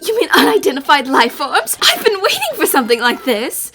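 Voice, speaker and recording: A woman's voice: high voice, wildly swooping pitch, brisk, bright, forceful tone, heavily acted. A woman playing an eager, confident young detective in a game fan dub, speaking in an exclamatory, theatrical way. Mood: excited. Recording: music behind the voice.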